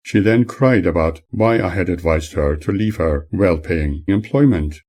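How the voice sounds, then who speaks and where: A man reading his own memoir aloud as scripted narration, in a formal, measured manner. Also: low-pitched, a little mellow.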